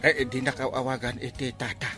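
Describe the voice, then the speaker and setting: A man's voice: medium pitch, flat delivery, moderate pace, music in the background, natural voice. A man with an Ilocano accent acting a mischievous, blustering village captain in a comedy drama, full of exclamations. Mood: jolly, flirtatious. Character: village captain, mischievous pleasure-seeking comic husband.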